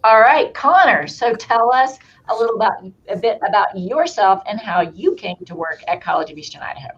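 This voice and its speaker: A woman co-hosting a college talk show, welcoming guests with quick, friendly affirmations. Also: mid-range voice, lively intonation, highs cut off. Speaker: co-host. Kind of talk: campus talk show, casual interview.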